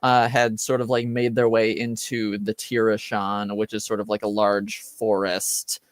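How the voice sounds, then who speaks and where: A game master casually narrating a tabletop roleplaying game, haltingly, searching for words. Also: medium pitch, little pitch movement, no room sound, clean studio sound. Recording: background music.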